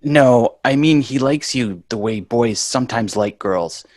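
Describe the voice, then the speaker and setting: A man's voice: medium pitch, unhurried, a little mellow, dry recording, studio quality, close to an everyday voice. A man playing a bratty, exasperated young boy in a sitcom table read, brash and sarcastic. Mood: mocking, explaining.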